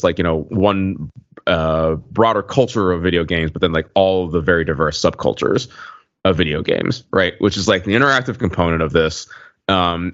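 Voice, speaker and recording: Low voiced guy podcast cohost, rambly and halting, lots of likes and you knows, bit muffled and roomy. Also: fairly bright tone. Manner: halting, heavily hedged with "like" and "you know". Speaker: podcast co-host. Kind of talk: casual conversational podcast talk. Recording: muffled, lo-fi voice recording.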